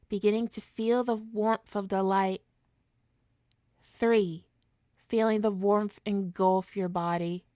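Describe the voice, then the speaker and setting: A woman acting as a suave villainess hypnotist, unhurried, smooth clear voice, coaxing, possessive, ASMR roleplay. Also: medium pitch, dry recording, clean studio sound. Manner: soothing, deliberate, coaxing, mock-polite.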